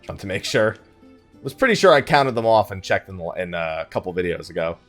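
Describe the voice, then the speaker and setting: A man's voice: low voice, animated, a little fast, soft background music. A man hosting a let's play with casual gaming commentary, thinking aloud and muttering asides to himself.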